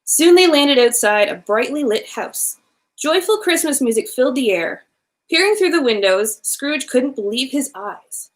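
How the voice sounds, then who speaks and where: A woman with a fairly high voice reads a storybook aloud for children. Her delivery is expressive and animated, in the playful manner of a bedtime storyteller. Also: measured pace. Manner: animated, with playful asides to listeners.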